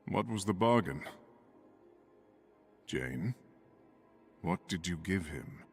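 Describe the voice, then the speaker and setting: A man's voice: deep voice, animated, takes it slowly, gravelly, slightly rough, faint music behind, full character voice. A man voicing a hearty, gallant warrior god prince in a video game, with grand, courtly phrasing. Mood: grave, concerned. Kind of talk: video game voice acting, dramatic dialogue.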